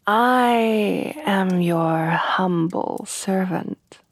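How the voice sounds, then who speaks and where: A woman voicing a refined, devoted headless horsewoman bride in a soft, breathy, courtly ASMR roleplay, often dropping to whispers. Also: mid-range voice, very slow, background noise. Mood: gentle, doting. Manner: refined, formal, courtly, tenderly doting.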